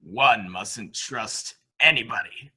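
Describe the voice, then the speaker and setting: Man acting childish madman, smug and dangerous, piercing bright voice, slow sing-song boasting, murder mystery radio play. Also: lowish voice, slightly rough, heavily acted. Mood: smug, secretive. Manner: sing-song boasting with giggles and repeated phrases. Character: childlike, gloating, dangerously unhinged killer named John. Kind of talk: amateur murder mystery audio drama acting.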